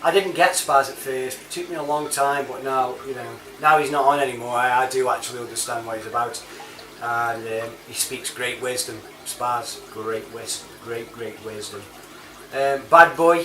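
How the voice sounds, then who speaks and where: Echoey, low-voiced British man chatting casually. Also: moderate pace, bright, forceful tone. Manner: chatty, matey, informal slang. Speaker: online reviewer and CB chat app user. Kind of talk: casual rambling monologue. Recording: roomy, distant mic, clear background noise.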